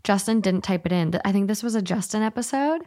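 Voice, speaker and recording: A woman's voice: medium pitch, lively intonation, fast, dark tone, no room sound, clean studio sound. A woman co-hosting a casual podcast and reading out listener letters in an upbeat, matter-of-fact way. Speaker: podcast co-host.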